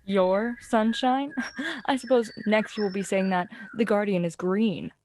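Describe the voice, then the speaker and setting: Young woman as proud wry autumn leaf, mid-pitched, self-assured, radio play, slight background noise. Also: a little slow, darkish tone, lightly acted. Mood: wry, offended. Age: young adult. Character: jokey, proud outsider female autumn leaf. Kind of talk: student radio play acting. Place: small improvised home recording space.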